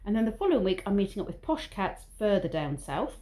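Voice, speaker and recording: A woman chats to her viewers in an easygoing vlog, drifting from one thought to the next. Her voice is mellow and warm. She talks in a loose, rambling way and admits when she is waffling. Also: medium pitch, very animated, fast talker. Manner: rambling, chatty, self-aware waffling. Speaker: vlogger. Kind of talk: casual vlog monologue.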